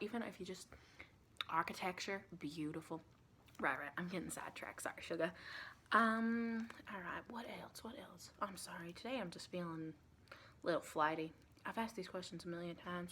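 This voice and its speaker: Softly rambling, whispery woman as a sweet vampire lady, background noise. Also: mid-range voice. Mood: flighty, friendly. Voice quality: soft, often drops into breathy whispering. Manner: rambling, flighty, sprinkles in pet names like sugar and sweetie. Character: chatty, sweet, scatterbrained female vampire recruiter screening a newcomer. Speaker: ASMR roleplay performer. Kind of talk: ASMR roleplay, softly spoken interview with pauses for unheard replies.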